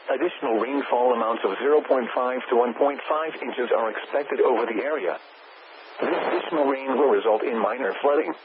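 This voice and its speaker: Tinny, noisy synthetic American male weather-radio announcer. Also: mid-range voice. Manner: flat synthetic delivery, clipped headings. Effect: weather radio broadcast. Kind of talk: automated weather bulletin, formal scripted reading. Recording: narrow tinny radio band, loud static noise.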